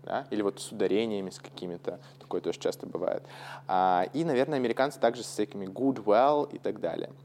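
A man's voice: lowish voice, fast, creaky, darkish tone, a little hiss or hum. A man from Russia co-hosting a podcast, speaking casually and thinking aloud in digressions. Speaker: podcast co-host.

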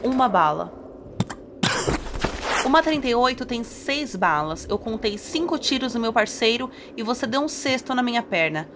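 A woman's voice: on the high side, very animated, moderate pace. An actress playing a tough, defiant, wounded policewoman with a blunt manner in an audio drama. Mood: grim defiance. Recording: clear background ambience noise.

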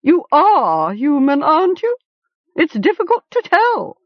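Woman voicing a mocking, screeching raven, very high, storybook creature, dull lo-fi sound. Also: wildly swooping pitch, unhurried, no room sound, exaggerated voice. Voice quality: screechy, cawing. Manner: haughty, mocking. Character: cantankerous screeching male raven.

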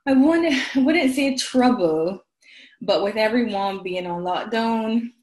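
A woman's voice: fairly high pitch, expressive, slow pace, a little mellow. A woman from Barbados answering interview questions in a friendly, talkative way. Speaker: guest, trainee sport psychologist. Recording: roomy echo.

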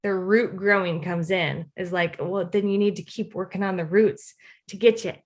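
A woman's voice: on the low side, fairly even intonation, normal speed. A woman co-hosting a faith podcast, chatting casually and expressively with short interjections and asides. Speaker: podcast co-host.

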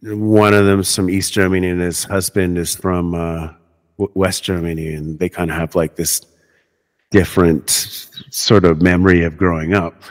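A man's voice: deep voice, level tone, moderate pace, long reverb tail. A man hosting a podcast, chatting casually in a rambling way, full of fillers and restarts. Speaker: podcast host. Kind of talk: casual conversation.

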